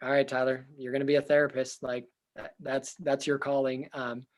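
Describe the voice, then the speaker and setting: A young man, a therapist, talking earnestly in an interview with a loud voice, thinking aloud with fillers and restarts. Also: medium pitch, level tone, normal speed. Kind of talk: reflective casual interview talk.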